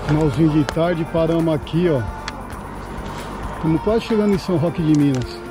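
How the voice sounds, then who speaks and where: Brazilian male biker vlogger, high-pitched, casual slangy banter, outdoor action-camera sound under music. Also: fairly quick. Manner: slangy, joking. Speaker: motorcycle trip vlogger. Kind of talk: casual chat. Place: outdoors at roadsides and streets. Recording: background music, outdoor road noise.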